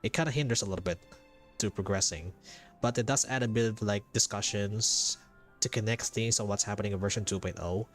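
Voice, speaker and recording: A man giving casual livestream commentary as a game streamer, speaking haltingly and repeating phrases for emphasis. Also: on the low side. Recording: game music behind the voice. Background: game music.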